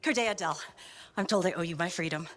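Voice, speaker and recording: A woman voicing a reflective, gentle cartographer just freed after ten years, in a video game. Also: lowish voice, fast, soft background music, close to an everyday voice.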